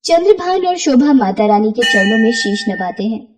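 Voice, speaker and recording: High-pitched woman storyteller. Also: big pitch swings, moderate pace, background noise. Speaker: narrator. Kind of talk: scripted bedtime story narration.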